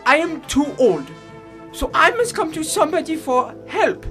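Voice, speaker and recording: An actor playing a frail, weary old man in a 1940s radio drama, speaking in a halting, pleading way. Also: very high-pitched, sing-song, fairly bright tone, faint music behind, full character voice. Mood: anxious, pleading. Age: older. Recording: 1940s radio broadcast recording.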